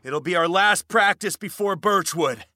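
A man's voice: high voice, normal speed, bright, forceful tone, dry recording. An actor playing a domineering young male rowing captain in an audio drama, speaking in a commanding, blunt manner. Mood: demanding, scornful.

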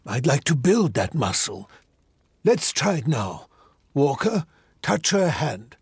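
Old fantasy mentor: very gravelly-husky, stern, commanding, animated intonation. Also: male voice, a little high, takes it slowly, dry recording, clean studio sound, heavily acted. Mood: stern, measured. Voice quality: husky, raspy. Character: old male mentor, stern, measured, magical teacher. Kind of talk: fantasy audio drama acting.

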